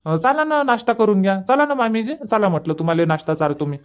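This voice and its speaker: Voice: mid-range voice, fast talker, close to an everyday voice. A performer voicing a polite, busy young village man in a Marathi cartoon comedy, with a Varhadi accent and a respectful, coaxing manner. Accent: Varhadi Marathi from Vidarbha.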